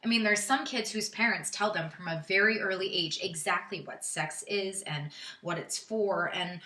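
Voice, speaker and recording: An actress playing a vulnerable young woman in a stage monologue, confessing in a halting way that moves between hushed and emphatic. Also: mid-range voice, close to an everyday voice. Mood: hesitant, wounded. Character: Jen, vulnerable young woman confessing painful intimate memories. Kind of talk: theatrical dramatic monologue. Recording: roomy echo, distant microphone.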